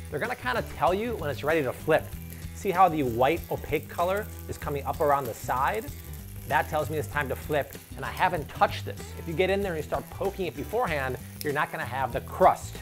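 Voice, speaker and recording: A man in his thirties with a Chicago accent, hosting an energetic, playful cooking demo in his home kitchen. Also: a little high. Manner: upbeat, playful, salesy. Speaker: cooking show host.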